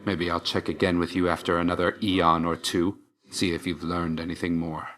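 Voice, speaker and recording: A man's voice: low voice, level tone, moderate pace, a bit bright. A man voicing a stern, brooding young god of death in a video game, speaking in a curt, restrained, solemn way with dry understatement. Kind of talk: scripted video game voice lines.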